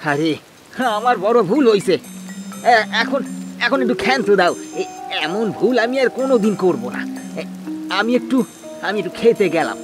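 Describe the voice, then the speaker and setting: Man playing a high-voiced flustered village farmer. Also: very animated, moderate pace, long pauses, music in the background. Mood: placating. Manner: flustered, stammering repetitions, rustic speech. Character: anxious, hard-working village farmer, timid husband. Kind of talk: cartoon voice acting.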